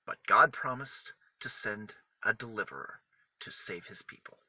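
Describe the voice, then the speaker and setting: A man reading a picture book aloud for small children, with deliberate, emphatic phrasing that sets single words apart. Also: fairly low pitch, pushed and bright, husky. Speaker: children's storybook reader.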